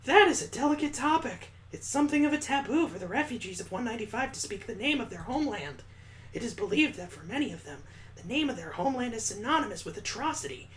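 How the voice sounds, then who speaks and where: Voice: medium pitch, expressive, quick pace, a bit bright, slight background noise, voice pushed up, lightly acted, rough recording. A let's player voicing a prim, knowledgeable schoolgirl who speaks formally in a visual novel. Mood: measured, serious. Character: prim, knowledgeable schoolgirl acting as assistant teacher.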